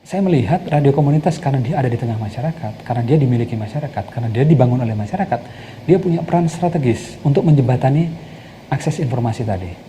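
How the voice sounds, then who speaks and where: Man with a very mellow, dark voice. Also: mid-range voice, lively intonation, quick pace. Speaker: community radio advocate being interviewed. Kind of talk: explanatory interview talk. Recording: noticeable background noise.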